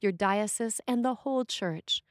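A woman priest reading a scripted sermon with measured, deliberate phrasing. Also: mid-range voice, no echo, studio quality.

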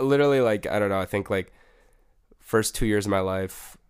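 A man's voice: fairly low pitch, a little fast, clean tone, no room sound, clean studio sound. A young man, a music producer, chatting casually as an interview guest with a laid-back manner and many fillers. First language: Spanish.

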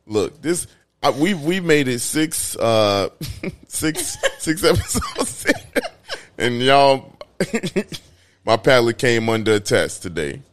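Animated, laughing Chicago man. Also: mid-range voice, takes it slowly. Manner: joking, laughing, playful slang. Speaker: podcast co-host. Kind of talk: casual food-review chat.

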